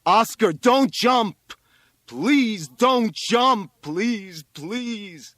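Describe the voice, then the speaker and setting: A man voicing an anguished, helpless rabbi in an audiobook story, with halting, drawn-out pleading. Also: on the high side, expressive, fairly bright tone, husky, no echo, voice pushed up, exaggerated voice. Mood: desperate pleading.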